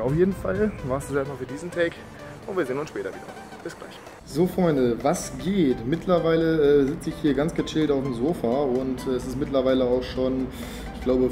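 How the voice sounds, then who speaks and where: Young man, slight cold, fast talker, slangy upbeat vlog chat, music in the background. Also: mid-range voice. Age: young adult. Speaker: vlogger. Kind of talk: casual chat to camera. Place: outdoors on residential streets and indoors in a living room. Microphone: phone or camera at arm's length.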